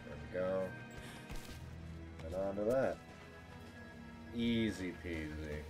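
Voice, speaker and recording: Deep-voiced joking man, slow, noisy background. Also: over music. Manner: playful, joking, dry. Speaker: game streamer. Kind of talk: casual live gameplay commentary. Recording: game sound and noise behind voice. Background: video game ambience.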